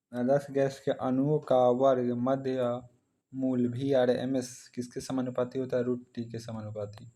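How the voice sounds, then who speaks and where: A man's voice: medium pitch, dark tone. An Indian man teaching exam practice, reading out questions and following each with a short answer.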